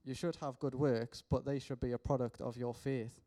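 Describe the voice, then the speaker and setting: A man closes his sermon in a relaxed, conversational manner. His voice stays level in pitch and has a dark, mellow tone. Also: normal speed. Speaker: preacher.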